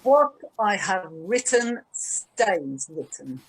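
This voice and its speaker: A woman reading the part of Pontius Pilate, a troubled Roman governor, slow and emphatic in a dramatized Bible reading. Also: medium pitch, big pitch swings, slightly rough, heavily acted, rough recording. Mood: firm.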